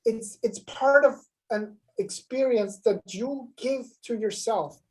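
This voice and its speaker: A woman's voice: a little high, takes it slowly, darkish tone. A woman on a live web show speaking earnestly about fear and shame, often repeating words as she goes.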